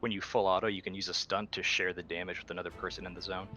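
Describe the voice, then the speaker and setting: Low, bright-edged man at a game. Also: little pitch movement, quick pace, faint music behind. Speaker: tabletop game player. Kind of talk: casual game talk.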